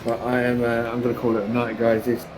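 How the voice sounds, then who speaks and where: A man's voice: mid-range voice, monotone, fast talker. A man chatting casually as a fellow racer on noisy online voice chat.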